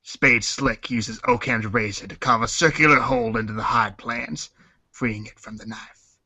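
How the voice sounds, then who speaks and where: Man acting a sharp, sinister gangster boss. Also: mid-range voice, fairly even intonation, normal speed, no echo. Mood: sinister, deliberate. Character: sinister raging gangster boss Spade Slick narrating his schemes. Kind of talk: dramatic storybook read-along.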